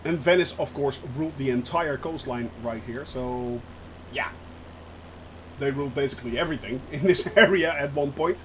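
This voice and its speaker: A man from the Netherlands with a Dutch accent, chatting casually and excitedly as a streamer while he flies a simulator, laughing often. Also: medium pitch, normal speed, slight background noise, poor audio.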